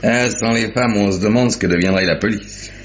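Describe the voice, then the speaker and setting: A man's voice: on the low side, fairly quick, slight background noise, close to an everyday voice. An actor playing a jovial, decisive male detective in charge, wry and familiar, in old-fashioned French radio drama style. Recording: dull old radio recording.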